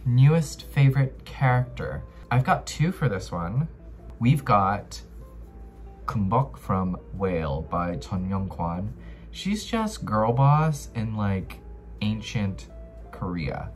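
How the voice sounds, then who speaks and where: A man in his twenties giving a casual, playful book review to camera in slangy, chatty language from his bedroom. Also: medium pitch. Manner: playful, slangy, with frequent "like" and trailing emphasis. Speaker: book vlogger. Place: small bedroom, indoors.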